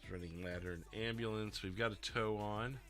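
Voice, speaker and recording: A man chatting casually with his stream viewers, his voice a little rough and stuffy, rambling and laughing often. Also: lowish voice, steady tone, normal speed, fairly bright tone, faint music behind. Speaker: livestreamer playing a roleplay dispatcher.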